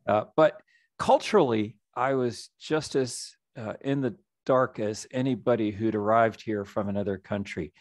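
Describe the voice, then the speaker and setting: A middle-aged man, a nonprofit executive director, giving a reflective, measured story-led presentation over a video call. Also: on the low side, no room sound, studio quality. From United States.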